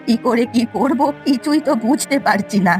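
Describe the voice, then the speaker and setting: A woman's voice: fairly high pitch, moderate pace, faint music behind, natural voice. A woman voicing a stingy, repentant old village granny in a cartoon story, plaintive and affectionate in manner. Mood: plaintive, sorry. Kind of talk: Bengali cartoon story voice acting.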